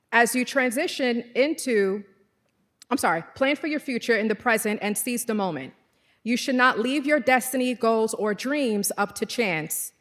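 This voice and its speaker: A woman in her forties with a Trinidadian accent, speaking as commencement speaker in an earnest, measured and exhorting manner. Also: on the high side, a bit bright, in a large hall. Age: 40s. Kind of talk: formal commencement speech. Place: large hall.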